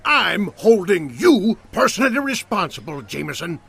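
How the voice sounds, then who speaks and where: An indignant farmer in a comic radio play. The voice is high and raspy, with a sharp, forceful edge. He blusters and accuses, full of grievance. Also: very animated, moderate pace, full character voice. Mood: outraged, accusing. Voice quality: raspy, creaky. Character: indignant, accusing older male farmer with a grudge. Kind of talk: comic audio drama acting.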